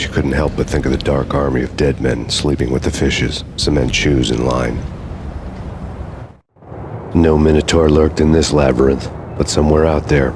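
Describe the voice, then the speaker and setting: An actor playing a brooding, sardonic hard-boiled cop, narrating a noir game in a world-weary deadpan. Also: male voice, very deep voice, background noise. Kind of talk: film noir scripted narration.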